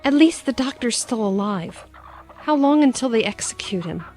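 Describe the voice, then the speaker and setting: Woman playing a level-headed, higher-voiced adventurer. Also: animated, normal speed, darkish tone, faint music behind, lightly acted. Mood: wary. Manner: reasoning, matter-of-fact. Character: level-headed, practical young female adventurer. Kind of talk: audio drama acting.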